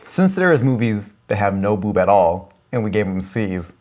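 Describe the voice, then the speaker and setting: Lively, lower-pitched male co-host. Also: normal speed. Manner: teasing, brief remarks. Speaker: podcast co-host. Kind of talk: casual podcast chat.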